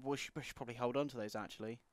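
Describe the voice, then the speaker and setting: A woman hosting a let's play, chatting casually about the game with wry, deadpan jokes. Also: extremely low pitch, rapid-fire, no echo. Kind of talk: casual gameplay commentary.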